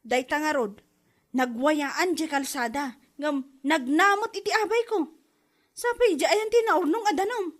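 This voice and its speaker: A woman's voice: high voice, a little slow. A woman with an Ilocano accent playing a proud, complaining wife talking to her husband in a comedy drama. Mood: aggrieved.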